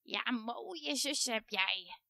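A woman voicing a cruel, ravenous ancient witch who drawls out slow hissing threats. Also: fairly high pitch, lively intonation, creaky, sharp tone, no echo, clean studio sound, full character voice. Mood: menacing.

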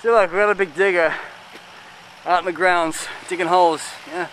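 A rambling man in his thirties narrates a walking tour outdoors, speaking into a handheld camera held at arm's length. His voice sits a bit high for a man, and faint outdoor ambience hums behind him. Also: fairly even intonation, moderate pace, a bit bright, a little hiss or hum, rough recording. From United Kingdom. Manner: rambling, chatty, lots of "like" and "I guess". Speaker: travel vlogger. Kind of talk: casual walking tour commentary. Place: outdoors in a city park and fortress.

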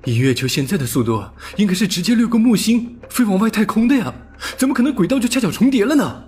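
A man's voice: high voice, big pitch swings, fast talker, darkish tone, breathy edge, soft background music, pitched above the performer's own voice, heavily acted. A man voicing an exasperated male space traveller in an audiobook, exclaiming and wailing complaints against fate. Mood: shocked, ranting. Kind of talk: audiobook character acting.

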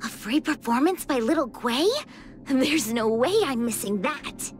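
A woman's voice: fairly high pitch, measured pace, faint music behind, natural voice. A woman voicing a laid-back, playful, lazy young woman in a video game, breezy and casual.

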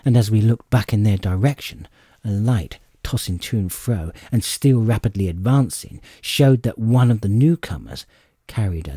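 A man narrating an adventure audiobook in an expressive, measured storytelling manner. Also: on the low side, breathy edge, no echo, clean studio sound. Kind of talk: dramatic scripted storybook narration.

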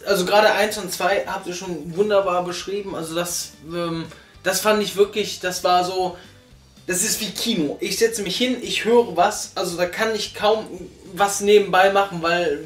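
A young man reviewing a radio drama in casual chat, hesitant, with many fillers and restarts, sitting on a sofa. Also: high voice. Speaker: podcast reviewer. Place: living room, sitting on a sofa. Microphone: far camera. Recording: roomy, far from the mic, faint music.

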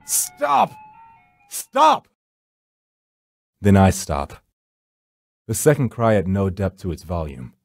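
A man with a fairly low, mellow and dark voice narrates a first-person horror story. His delivery is brooding and theatrical, suited to a visual novel, with faint music behind him. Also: expressive, measured pace, pauses often, no echo, clean studio sound.

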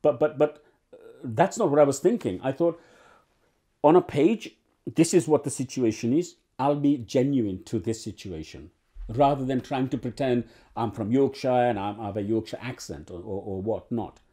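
An older man, born in Uganda, talks through his acting career, seated in a living room. His voice is very dark and mellow, and he circles back with restarts and filler sounds as he thinks aloud. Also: mid-range voice, normal speed. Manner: rambling, many restarts and fillers. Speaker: actor interviewee. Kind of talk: oral history interview. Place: living room with bookshelves and sofa.

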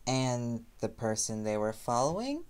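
A man acts out a young reporter boyfriend in an audio roleplay, affectionate and easily flustered. His pitch jumps around freely while his pace stays unhurried. Loud noise sits behind the voice. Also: huge pitch swings. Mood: earnest, thoughtful. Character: young playful, self-deprecating reporter boyfriend. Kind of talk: roleplay audio drama, intimate one-sided dialogue.

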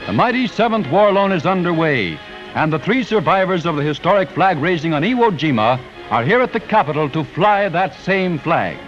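A man's voice: fairly high pitch, big pitch swings, moderate pace, fairly bright tone. An American man reading scripted 1940s newsreel narration in a solemn, declamatory, patriotic manner. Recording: dull vintage newsreel soundtrack with music.

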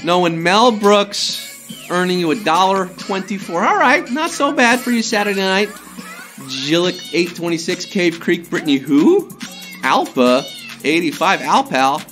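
Playful slightly hoarse male quiz host. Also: high-pitched, big pitch swings, a bit bright, soft background music. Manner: playful, jokey, slips into quick impressions and sung bits. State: slightly hoarse from a sore throat. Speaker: trivia game show host. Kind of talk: upbeat live quiz hosting. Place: studio before a green screen.

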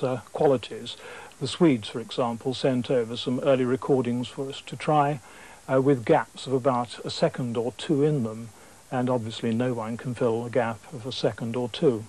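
A middle-aged Englishman answering formally in an interview, with hesitant, halting phrasing. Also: mid-range voice, steady tone, darkish tone, rough recording. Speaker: sound archive director interviewed. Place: indoors among archive shelves.

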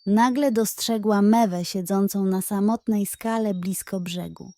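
Woman reading a kids bedtime story, very unhurried, dry close sound, expressive. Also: medium pitch, dry recording. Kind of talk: scripted children's bedtime story narration.

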